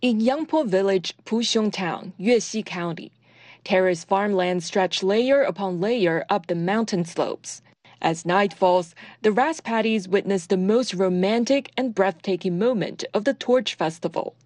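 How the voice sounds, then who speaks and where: A woman's voice: mid-range voice, a little slow, darkish tone, dry recording. Woman narrating a news feature, dry sound. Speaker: reporter.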